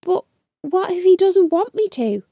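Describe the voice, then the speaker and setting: Woman voicing an anxious young beaver boy, high-pitched. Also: measured pace, no echo, clean studio sound, full character voice. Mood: worried. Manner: expressive, chanting rhymes and fretting. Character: anxious young male beaver, talking himself into courage. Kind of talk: children's storybook read-aloud.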